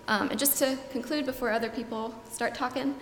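Young woman doing a slide talk, says um a lot, kinda high voice, big echoey hall. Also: steady tone, brisk. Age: young adult. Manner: frequent ums, earnest and informative. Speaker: student presenter. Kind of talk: slide presentation to an audience. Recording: echoey hall, long reverb, faint room noise.

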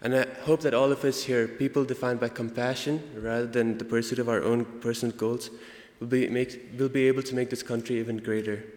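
A young man with an Indian accent gives an earnest prepared student speech, halting now and then to correct himself. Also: mid-range voice, fairly even intonation, a little mellow. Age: young adult. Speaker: student speaker. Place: large indoor hall, convocation. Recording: echoey hall with long reverb.

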